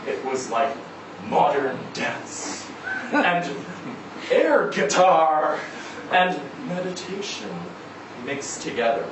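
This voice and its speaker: A man giving a dramatic live reading of a short story, with measured, deliberate phrasing and pauses. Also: fairly high pitch. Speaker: story reader at a live literary event. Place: indoor event venue before a live audience. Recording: echoey room, distant mic, noisy background.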